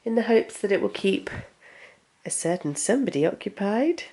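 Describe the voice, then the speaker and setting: A woman's voice: medium pitch, animated, moderate pace, mellow. A young woman vlogging from her home kitchen, chatting casually while she cooks, with a stuffy, bunged-up voice from a cold. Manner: rambling, conversational, talks while cooking. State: congested with a cold. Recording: echoey room, faint noise.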